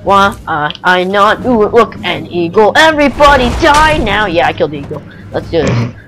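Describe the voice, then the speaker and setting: A man's voice: high-pitched, big pitch swings, moderate pace, bright, forceful tone, slight background noise. A young man giving casual, goofy gameplay commentary, reacting aloud with exclamations. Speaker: gamer. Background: game music.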